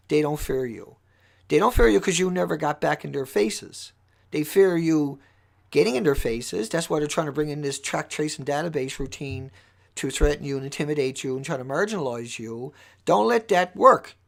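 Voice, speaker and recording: A man with a squeaky voice giving an impassioned, off-the-cuff livestream monologue, urgent and emphatic, repeating phrases for stress. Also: normal speed, gravelly. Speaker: livestream host.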